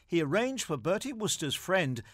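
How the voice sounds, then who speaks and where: A man's voice: sits high, very animated, normal speed, a bit bright, no room sound, clean studio sound. A man presenting, reading a scripted literary narration. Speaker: magazine programme presenter.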